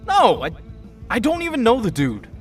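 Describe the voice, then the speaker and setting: A man's voice: very high voice, wildly swooping pitch, normal speed, soft background music, heavily acted. A man acting a guarded, curt teenage boy in an audio drama, a little distant from the mic. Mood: defensive.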